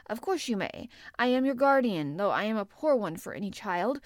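A woman voicing a miserable middle-aged gentleman in an audiobook, with a worried, absent-minded and fretful manner. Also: mid-range voice, animated, moderate pace, dry recording, clean studio sound, natural voice. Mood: worried, exclaiming. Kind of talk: audiobook character acting.

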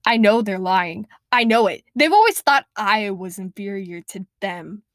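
A woman's voice: medium pitch, a little slow, no echo, clean studio sound, natural voice. A woman voicing a bitter, distrustful young mother who talks in an angry, accusing way and swears.

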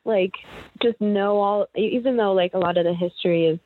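A woman's voice: mid-range voice, a little fast, a little hiss or hum. A young woman interview guest, talking in a hesitant, rambling way with many restarts. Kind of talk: casual interview chat. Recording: dry, tinny phone-like line.

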